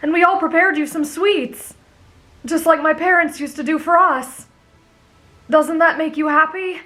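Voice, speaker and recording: A woman voicing a kind, naive teenage boy demon slayer with a gentle, sincere way of explaining things, in an anime fan audio roleplay. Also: very high-pitched, fairly even intonation, measured pace, faint music behind, full character voice. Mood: kind, explanatory.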